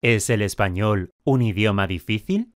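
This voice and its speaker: A man with a lowish voice asks simple drill questions and states short sentences, each word clearly articulated. He is recorded close and dry with no room sound. His delivery stays even and scripted throughout. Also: unhurried, no room sound. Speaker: language course speaker.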